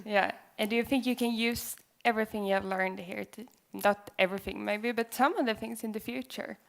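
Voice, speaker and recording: A woman's voice: mid-range voice, fairly even intonation, a little fast, gravelly. A young woman hosting on an auditorium stage, interviewing student contestants with friendly questions. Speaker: event host interviewing contestants. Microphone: handheld mic.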